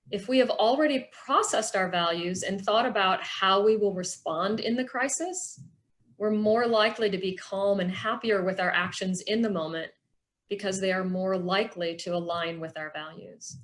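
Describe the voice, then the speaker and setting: A middle-aged woman presenting a workshop with personal reflection, explaining things in an earnest, measured way. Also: medium pitch, a bit bright.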